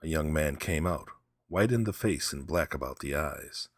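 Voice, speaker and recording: Deep, husky male storyteller. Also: expressive, normal speed, dry recording, studio quality. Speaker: audiobook narrator. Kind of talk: scripted storybook narration.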